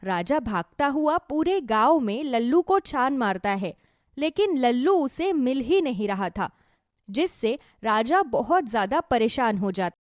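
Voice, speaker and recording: A woman narrates a scripted fable for children. Her voice sits fairly high and moves in lively swoops of pitch, in a dry, close recording. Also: a little slow, dry recording. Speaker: storyteller, narrator.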